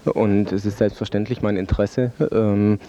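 A man's voice: fairly low pitch, level tone, moderate pace, dark tone. A man answering formally as a project leader in a radio interview. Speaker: interview guest, drug-aid project leader. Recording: dull, slightly noisy radio recording.

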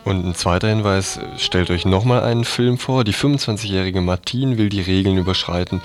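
A man presenting on radio, reading out scripted announcements. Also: sits low.

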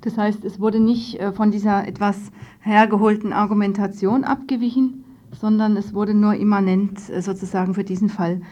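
A woman's voice: mid-range voice, fairly even intonation, normal speed, warm tone. A woman radio interviewer asking questions in an informal, conversational way. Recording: dull, lo-fi radio recording.

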